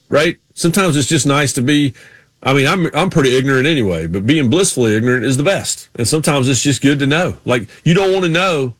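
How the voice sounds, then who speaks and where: A man's voice: medium pitch, no echo. Chatty male sports radio co-host, close dry sound. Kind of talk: casual chat and anecdotes.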